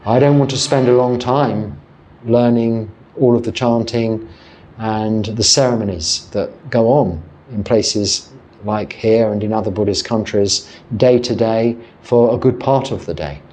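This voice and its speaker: An English man in his fifties, a Buddhist monk, speaking calmly and reflectively as he answers a viewer's question, with pauses and self-corrections. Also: lowish voice. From England.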